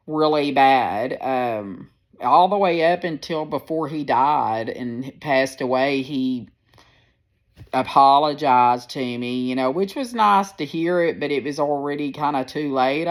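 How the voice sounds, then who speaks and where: A woman in her sixties with a deep, firm voice, talking candidly and rambling a little as she speaks to her viewers into a phone. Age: 60s. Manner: candid, rambling, plain-spoken. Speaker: personal vlogger. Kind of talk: casual personal storytelling to viewers.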